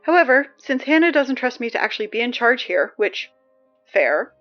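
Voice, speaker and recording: Audio drama: woman playing a nervy, sardonic schoolgirl on morning announcements, highish pitch, self-interrupting, slightly dull sound. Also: sing-song, moderate pace, soft background music. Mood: wry, grudging. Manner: rambling, sardonic asides, hesitant self-interruptions. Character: anxious, wry high school girl reading morning announcements.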